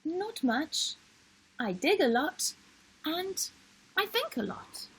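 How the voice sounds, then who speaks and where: A woman's voice: extremely high pitch, big pitch swings, slow, voice pushed up, exaggerated voice, poor audio. A woman voicing a small, earnest young male wombat who answers plainly and modestly in a children's storybook. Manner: plain, simple, repetitive answers.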